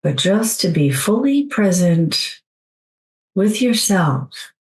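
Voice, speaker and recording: A woman leading a guided meditation in a soothing, gentle, unhurried way, leaving long pauses between phrases. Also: fairly low pitch, big pitch swings, low-quality recording.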